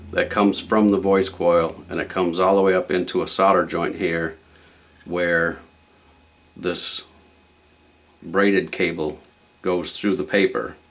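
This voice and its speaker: Low-voiced man explaining a hands-on repair. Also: a bit bright. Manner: plain-spoken, explains step by step. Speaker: repair video host. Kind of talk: casual hands-on repair walkthrough.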